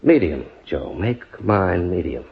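A man's voice: sits low, big pitch swings, takes it slowly, gravelly, heavily acted. An actor playing a husband who menaces his wife in an old-style radio drama. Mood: cold, insistent. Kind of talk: mid-20th-century radio drama acting. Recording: dull, lo-fi old radio recording.

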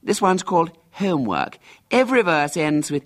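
Male reader playing a smug, boastful child celebrity; haughty, lively delivery. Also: fairly high pitch, moderate pace, voice pushed up. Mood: imperious. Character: conceited famous young boy, pompous and self-important.

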